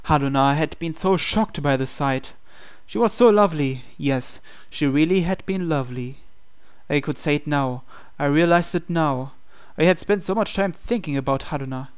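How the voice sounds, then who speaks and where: A man's voice: on the high side, moderate pace, clean tone. A man reading visual novel text aloud as dramatic scripted narration for a playthrough.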